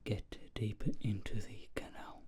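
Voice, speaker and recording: A man speaking in a soft, breathy whisper, gently playing a polite, reassuring ear examiner in an ASMR roleplay. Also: unhurried.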